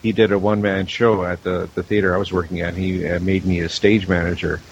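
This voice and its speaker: Low-voiced man on dull, hissy audio. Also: level tone, brisk. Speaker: audio drama producer and director, panel guest. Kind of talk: casual panel discussion. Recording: dull lo-fi audio with clear hiss.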